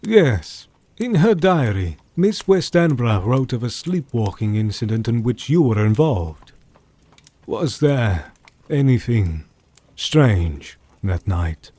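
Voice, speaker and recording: A man playing a grave, courteous scholarly doctor in an audio drama, speaking formally with measured politeness. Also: medium pitch, big pitch swings, warm tone, no room sound. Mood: probing.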